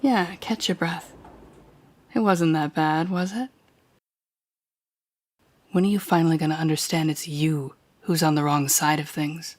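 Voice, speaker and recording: A woman's voice: low-pitched, fairly even intonation, measured pace, long pauses. A woman playing a cold, commanding telepathic villain who taunts a captive hero in an intimate audio roleplay. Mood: bitter, menacing. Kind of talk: intimate second-person audio roleplay acting.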